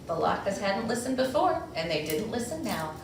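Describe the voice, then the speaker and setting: Woman, expressive picture-book reading, very animated pitch, strong room echo, faint noise. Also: normal speed. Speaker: storyteller reading to children. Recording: echoey room, distant mic, faint noise.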